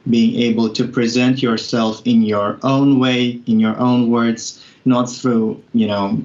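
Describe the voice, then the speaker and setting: A young man from Ukraine giving a news interview in a flat, even voice. He speaks earnestly and with measured care, pausing on fillers as he thinks. The audio sounds a little muffled, as if coming over a remote link. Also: on the low side. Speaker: interview guest.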